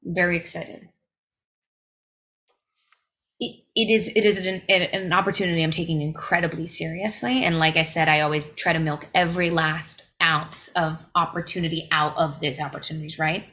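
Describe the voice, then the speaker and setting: Bright-voiced young woman singer, emphatic. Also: sits low, steady tone, a little fast, few pauses. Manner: earnest, emphatic advice-giving with fillers. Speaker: touring singer guest. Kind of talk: casual interview answers. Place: home recording, podcast interview.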